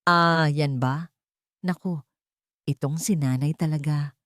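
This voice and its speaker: A woman's voice: low voice, fairly even intonation, takes it slowly, a bit bright, dry recording, clean studio sound. A woman voicing a haughty young wife and mother in an audio drama, mixing English and Tagalog with calculated control. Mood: falsely sweet. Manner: cold and hard, or sugary, mixing English and Tagalog.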